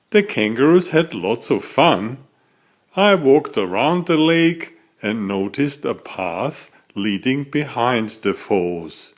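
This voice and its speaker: Slow, very animated male storybook reader. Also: mid-range voice. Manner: deliberate, dramatic storytelling. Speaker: children's book author reading aloud. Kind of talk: expressive scripted storybook narration.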